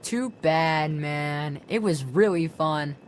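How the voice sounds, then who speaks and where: A woman's voice: deep voice, slow. Game role: woman voicing snide boy sidekick, grudging and boastful, mocking delivery, faint noise behind. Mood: smug, mocking. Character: smug, snide boy, a young bully's sidekick. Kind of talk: video game acting.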